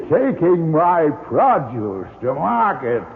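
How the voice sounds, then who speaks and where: A thin, narrow vintage radio recording of a crazed body-snatching servant. He speaks slowly and theatrically, gloating and ranting in a high voice that lurches wildly. It is old mystery-drama villainy at full tilt. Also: heavily acted. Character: deranged murderous manservant, body snatcher and killer.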